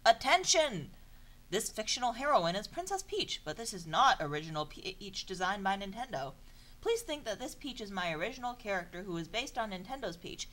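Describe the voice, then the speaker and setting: A woman reads a story aloud in an informal, theatrical way. Her pitch moves around a lot, and her manner is sardonic and exasperated, as if narrating under protest. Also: a bit bright, low-quality recording. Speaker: narrator of a comedic fan fiction reading. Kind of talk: informal dramatic reading with asides.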